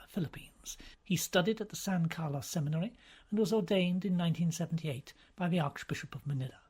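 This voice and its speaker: A man reading a novel aloud as scripted narration, in a measured, formal storytelling manner. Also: high-pitched, darkish tone, slightly rough. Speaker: audiobook reader.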